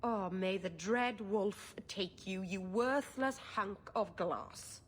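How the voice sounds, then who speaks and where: A woman's voice: mid-range voice, takes it slowly, breathy edge. A woman playing an earnest, stubborn and sensitive young elf mage in a video game. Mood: bitter frustration. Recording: long hall-like reverb.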